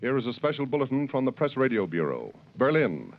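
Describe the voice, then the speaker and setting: A man's voice: medium pitch, big pitch swings, fairly quick, loud music behind the voice. A man reading a formal 1930s news bulletin on the radio. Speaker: news announcer. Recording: tinny old radio broadcast.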